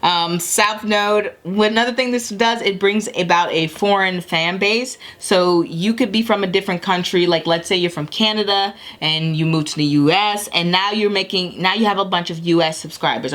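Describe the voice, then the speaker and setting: A woman with a fairly low voice reads tarot and astrology for her audience. She rambles in a casual way, restarts her sentences and keeps checking in with "okay?". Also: fairly bright tone. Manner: chatty, rambling, frequent self-corrections and "okay?" check-ins. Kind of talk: casual recorded tarot reading.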